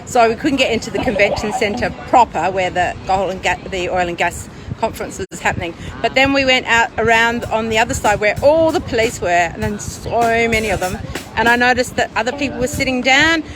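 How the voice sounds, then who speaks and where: A woman's voice: fairly high pitch, brisk, crowd noise, rough recording. An older woman telling her protest story in a chatty, light-hearted way outdoors. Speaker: arrested protester. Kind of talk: casual storytelling interview. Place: outdoor city square. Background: live music nearby.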